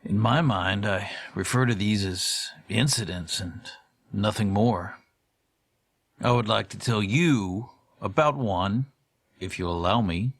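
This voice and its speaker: A man playing a formal, wordy middle-aged storyteller, giving a measured, ornate monologue in an audio horror drama. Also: lowish voice, gravelly, no echo, heavily acted. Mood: confiding, grave. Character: formal, verbose middle-aged man recalling haunting courier days.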